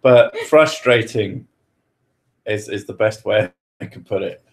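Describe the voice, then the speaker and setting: Chill british guy hosting a chat, kinda low voice, jokey, swears a lot. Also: a little slow. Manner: joking, swears freely, laughs often. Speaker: podcast host answering questions. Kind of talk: casual chat.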